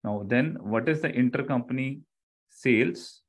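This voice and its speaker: A middle-aged man with an Indian accent gives a live online lecture. His voice sits at an average pitch. He explains as an instructor does, repeating key phrases and often checking that listeners follow. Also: a little slow, breathy edge.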